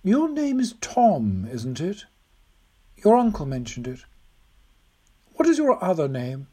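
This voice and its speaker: A narrator voicing a little old Victorian woman in an audiobook, insistent, tender and delighted, with drawn-out phrases. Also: mid-range voice, huge pitch swings, warm tone, voice pushed up, exaggerated voice. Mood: probing. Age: older. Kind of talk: audiobook character voice.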